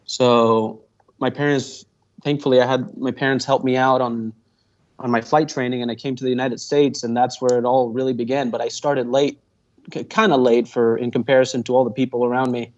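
A man's voice: mid-range voice, flat delivery, brisk, a little mellow. Guy in his 20s, rambles a lot, ums and restarts, muffled lo-fi sound. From Venezuela. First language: Spanish. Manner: earnest, rambling, with many fillers and restarts. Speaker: guest pilot. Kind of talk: casual interview answers. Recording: dull muffled audio.

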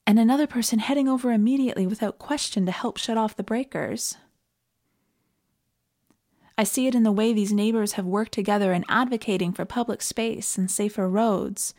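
A Canadian woman giving a reflective, scripted sermon in an earnest and measured manner. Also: fairly high pitch, a little mellow. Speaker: preacher.

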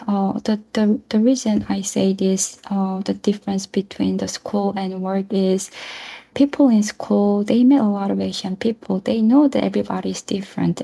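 A young woman from South Korea with a Korean accent, a non-native speaker answering interview questions earnestly in halting phrases. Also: medium pitch, gravelly, dark tone. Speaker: interview guest, mental health nurse and researcher.

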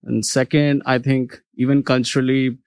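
A man from India, speaking in a measured, explanatory way as a panelist in a discussion. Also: mid-range voice, little pitch movement, a little mellow, clear, resonant tone, dry recording, clean studio sound. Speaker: MBA student panelist.

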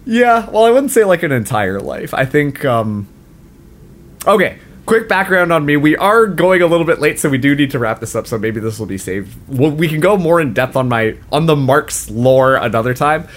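A man in his late twenties hosts a casual podcast. His pitch swoops up and down as he talks, and he laughs often and pokes fun at himself. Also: normal speed. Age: late 20s. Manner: laughs often, animated and self-mocking. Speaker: podcast co-host.

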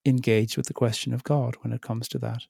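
Man, middle-aged, interview guest; reflective and searching delivery, gravelly texture, dry close recording. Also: medium pitch, little pitch movement, fairly quick, darkish tone, no room sound. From Ireland. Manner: thoughtful, searching, with frequent restarts and hesitations. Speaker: theologian in residence, interview guest.

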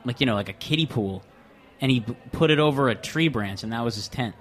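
A man's voice: mid-range voice, fast. A man hosting a casual festival podcast, rambling, swearing and cutting in on his co-host. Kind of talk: casual chat.

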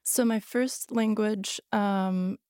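A woman's voice: mid-range voice, fairly even intonation, takes it slowly, mellow, no room sound, clean studio sound. A woman author born in Hungary and raised in Sweden, a native Hungarian speaker, answering interview questions hesitantly with many fillers and restarts. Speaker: author guest. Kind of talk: podcast interview.

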